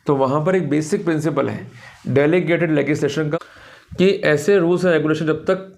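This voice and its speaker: A man's voice: a little high, moderate pace, gravelly, darkish tone. A man with an Indian accent giving an explanatory law lecture, addressing his listeners directly in an emphatic, didactic way and mixing in English legal terms.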